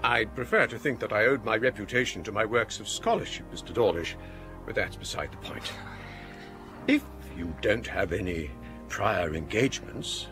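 An actor with an English accent playing a courteous, scholarly older provost in a period radio drama, formal and donnish. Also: male voice, lowish voice, normal speed, music in the background, lightly acted. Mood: genial, formal. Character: courteous, scholarly older Cambridge provost and ghost-story teller.

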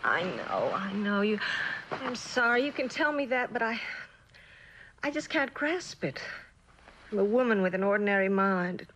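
An actress playing a lonely, neglected wife in a mid-century television drama, plaintive and halting, with a bitter edge. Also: female voice, medium pitch, big pitch swings, a bit bright, slight background noise. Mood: hurt.

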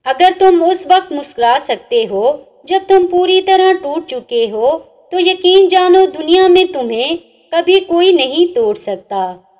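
Female narrator, high and melodic, for slow recitation of religious sayings with gently falling line endings. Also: animated. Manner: measured recitation, each saying ends on a dropping, softer note. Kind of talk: reading inspirational religious sayings over slides.

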